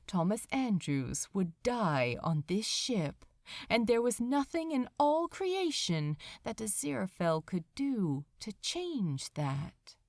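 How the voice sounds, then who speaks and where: Female audiobook narrator, dramatic and unhurried, lively intonation, dry sound. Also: medium pitch, no echo. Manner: expressive, measured. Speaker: fan fiction narrator.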